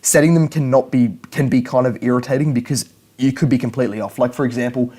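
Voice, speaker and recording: A man's voice: medium pitch, steady tone, fast, mellow. Rambling young man explaining to camera. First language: English. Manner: rambling, repeats and restarts words. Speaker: language learning YouTuber.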